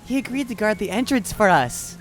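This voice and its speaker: A man voicing an eager, nervous young cat-man in a fantasy audio drama, sheepish and excitable. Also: sits high, brisk, over music, voice pushed up.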